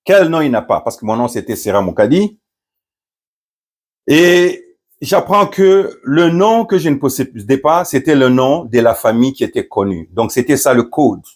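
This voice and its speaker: A middle-aged man from Congo, a pastor, recounting a personal story and commenting, often pausing with fillers and restarting his phrases. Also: medium pitch, animated. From Democratic Republic of the Congo. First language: Swahili. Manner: hesitant, many fillers and restarts.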